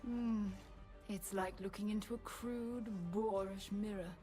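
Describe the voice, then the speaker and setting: An actress playing a cold, elegant, menacing intelligence agent in a video game, with clipped, disdainful delivery. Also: female voice, medium pitch, steady tone, a little slow, mellow, soft background music, lightly acted. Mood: haughty disdain. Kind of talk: scripted video game character lines.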